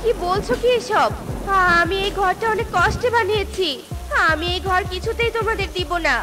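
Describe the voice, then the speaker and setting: High-pitched plaintive mother bird voiced by a woman. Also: moderate pace. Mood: distressed, pleading. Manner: tender, plaintive. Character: poor, gentle, sorrowful mother bird. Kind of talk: children's cartoon dubbing. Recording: loud music behind.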